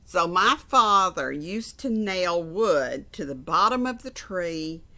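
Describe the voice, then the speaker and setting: A woman's voice: fairly low pitch, lively intonation, takes it slowly, pushed and bright, heavily acted. A woman voicing a kindly, practical old grandmother in a storybook, with a homely storytelling manner. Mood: reminiscing.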